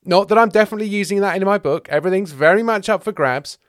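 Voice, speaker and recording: A man acting smug disciple author, self-important, evasive, animated pitch, dry recording, comedy storytelling. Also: brisk, no room sound, natural voice. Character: calculating, self-important male disciple writing a book. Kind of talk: comic scripture parody reading.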